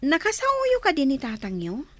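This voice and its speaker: Actress as sympathetic neighbour woman, high voice, cautious, concerned, inquiring, radio drama. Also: wildly swooping pitch, moderate pace, no echo.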